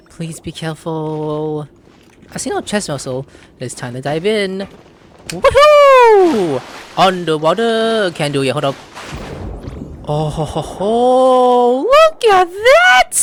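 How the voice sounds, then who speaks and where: A woman doing casual, excitable let's-play commentary, exclaiming and gushing as she talks to the characters and to herself. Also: on the low side, takes it slowly, pauses often, slight background noise. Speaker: gameplay streamer. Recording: game music behind the voice.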